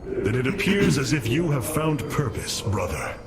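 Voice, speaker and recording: An actor playing a noble, resolute alien commander in a video game, speaking in a grave, stately, formal manner. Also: male voice, mid-range voice, big pitch swings, normal speed, heavy background noise. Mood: calm, resolute. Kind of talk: dramatic video game acting.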